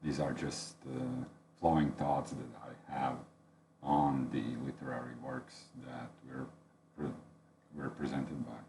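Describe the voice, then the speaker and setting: A man's voice: rumbling low, little pitch movement, takes it slowly, vocal fry, noisy background. A man whose first language is Latvian gives a thoughtful, unedited spoken book review, searching for words as he goes.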